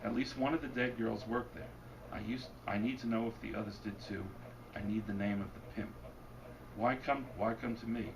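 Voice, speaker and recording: A man with a low, gravelly voice reads his own fiction in a wry, deadpan way, heard from some way off. His voice is wearing thin, and the bookstore room behind him is noisy. His delivery stays dry as the hard-boiled lines land. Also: moderate pace. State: losing his voice. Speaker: author reading his fiction. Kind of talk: bookstore reading, then Q&A. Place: bookstore event. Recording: distant mic, noisy room. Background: audience laughter.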